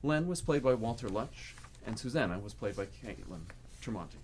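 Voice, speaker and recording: Animated male announcer, slightly noisy sound. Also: lowish voice, normal speed. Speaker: radio theatre announcer. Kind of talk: reading cast credits.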